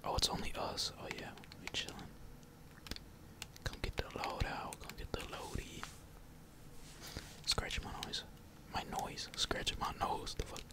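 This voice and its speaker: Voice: unhurried, pauses often. A gamer whispering slangy, laid-back ASMR commentary while playing, with casual swearing. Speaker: gaming streamer.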